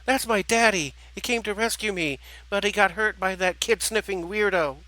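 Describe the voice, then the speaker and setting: A man voicing a plucky, curious little girl, excitable and blunt in a childlike way. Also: high-pitched, normal speed, dry recording, voice pushed up, heavily acted, low-quality recording. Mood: excited.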